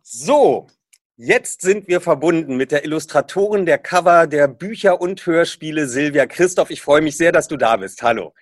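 A man's voice: a little high, lively intonation, normal speed. A man hosting a podcast who reads a book passage aloud and then interviews a guest in a casual, eager way.

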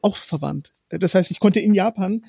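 A young man whose first language is Romani speaks as an interview guest, pausing often to hunt for the right word. His voice sits fairly high and carries a gravelly, husky edge. The recording is dry and a little dull, like lo-fi sound. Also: a little mellow, dry recording. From Germany. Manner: halting, pausing often to find words. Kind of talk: interview conversation.

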